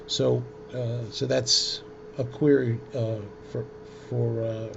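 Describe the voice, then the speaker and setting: A man presenting an informal software tutorial in a halting, hesitant way, with frequent ums. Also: medium pitch, level tone, gravelly. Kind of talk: informal screen-share software demonstration. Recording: dull lo-fi audio with loud noise.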